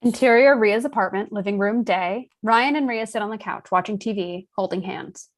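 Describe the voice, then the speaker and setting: A woman's voice: mid-range voice, moderate pace. A woman narrating the stage directions of a script at a table read.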